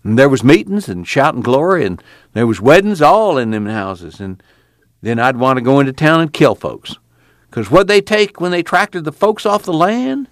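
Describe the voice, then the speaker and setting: A man's voice: mid-range voice, no room sound, studio quality, pitched above the performer's own voice, lightly acted. A man voicing a lonely, bitter older farmer who talks in a raw, pleading way that rises to anger.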